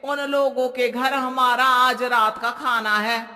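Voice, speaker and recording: A narrator playing a sharp-tongued, suspicious housewife in a cartoon comedy, exclaiming and scolding. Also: medium pitch, little pitch movement, slow pace, long reverb tail. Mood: annoyed. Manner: exclamatory, nagging. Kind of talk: Hindi cartoon comedy character voice.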